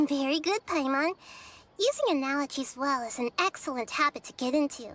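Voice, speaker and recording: High-voiced gentle, wise child goddess. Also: female voice, moderate pace, slight background noise. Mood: encouraging. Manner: patient, articulate, explanatory. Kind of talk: video game character acting.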